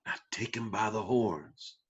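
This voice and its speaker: A man playing an encouraging country musician father with a husky voice at a screenplay table read. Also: lowish voice, a little slow, dull audio. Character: encouraging country musician father coaching his little girl.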